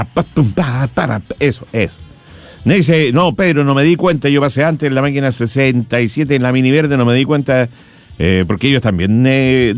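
A man with a Chilean accent, a radio host chatting casually and humorously in a rambling, colloquial way. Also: medium pitch. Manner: rambling, jokey, colloquial, chuckles often. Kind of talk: casual humorous chat, anecdotes and listener greetings. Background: music.

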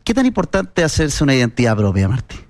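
A Chilean man interviews a guest in a casual, teasing tone. His pitch moves around a lot as he asks his questions. The sound is dry and close to the mic. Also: very animated, quick pace, no echo. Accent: Chilean Spanish.

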